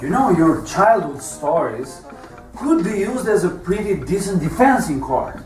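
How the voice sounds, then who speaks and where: A man's voice: high-pitched, sing-song, measured pace. A man playing a volatile, self-righteous police detective in a stage monologue, profane and mocking as he interrogates. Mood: sneering. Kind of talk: theatrical monologue performance. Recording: roomy, distant mic, music behind.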